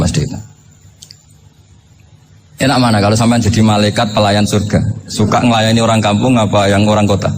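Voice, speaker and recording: A male Islamic preacher with a Javanese accent delivers an informal sermon full of humorous storytelling. His voice sits at a middling pitch, and he talks conversationally, throwing out rhetorical questions. There is faint noise behind him. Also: darkish tone.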